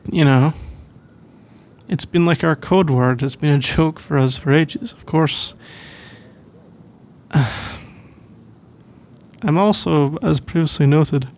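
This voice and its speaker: Man acting as shy college boyfriend, British accent, slightly higher-pitched, fry-laden voice, sleepy, hesitant rambling, ASMR roleplay. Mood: flustered, amused. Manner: hesitant, halting, rambling with soft laughs. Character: sleepy, shy, easygoing young male college student boyfriend.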